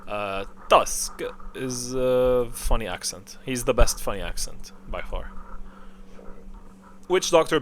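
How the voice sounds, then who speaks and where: A man's voice: lowish voice, fairly bright tone. Casual man thinking aloud, with background noise. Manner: thinking aloud, asks and answers own questions. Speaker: video creator ranking game voice lines. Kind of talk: casual rambling tier-list commentary.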